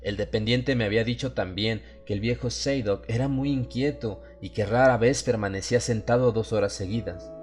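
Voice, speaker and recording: Male horror audiobook narrator, lowish pitch, dramatic scripted read, light music bed. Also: moderate pace, hardly any pauses, darkish tone. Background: music under the voice.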